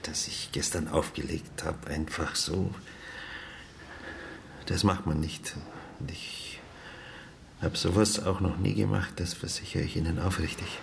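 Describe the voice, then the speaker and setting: A bereaved father in a radio play, apologetic and broken by grief. His very low, gravelly voice moves haltingly and often drops to a hush, with faint noise behind it. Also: fairly even intonation. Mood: apologetic, subdued. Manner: halting, hushed, often breaking into whispers. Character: grieving, apologetic father of a dead teenage daughter. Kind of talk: naturalistic radio drama acting.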